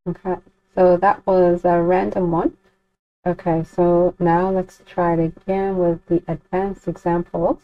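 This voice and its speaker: Lady walking thru software casually, pretty monotone, dry muffled sound. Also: lowish voice, measured pace, no room sound. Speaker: tutorial host. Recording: dull lo-fi home recording.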